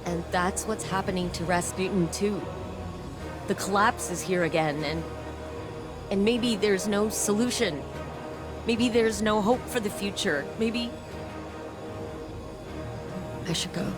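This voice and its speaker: An actress playing a weary, guilt-ridden scientist in a video game, speaking in an earnest, reflective, hesitant monologue. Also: female voice, medium pitch, a little slow, music in the background, natural voice. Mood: despairing.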